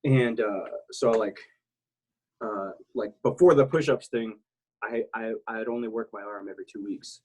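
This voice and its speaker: A man's voice: on the low side, moderate pace, darkish tone, low-quality recording. A man in his thirties from South Texas, a military veteran talking as an interview guest, rambling and blunt, with many restarts and fillers. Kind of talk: casual interview talk.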